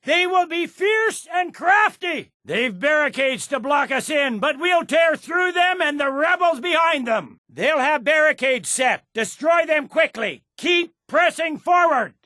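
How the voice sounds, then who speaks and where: Imperial general, middle-aged: proud, impatient, forceful and authoritative, dry close sound, for a fantasy game. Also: male voice, very high voice, lively intonation, dry recording, exaggerated voice. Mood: rallying, commanding. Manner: commanding, clipped military authority, deliberate emphatic delivery. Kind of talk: scripted video game voice acting.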